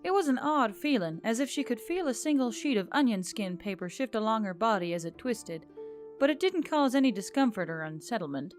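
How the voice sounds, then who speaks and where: A woman's voice: a little high, animated, brisk. A woman reading scripted narration of a fantasy story. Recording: background music under the voice. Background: music.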